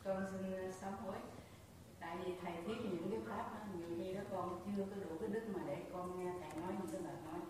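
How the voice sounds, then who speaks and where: A woman disciple answering her teacher in a soft, quick, apologetic way, heard from a distance. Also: fairly low pitch, steady tone. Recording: distant voice in an echoey hall.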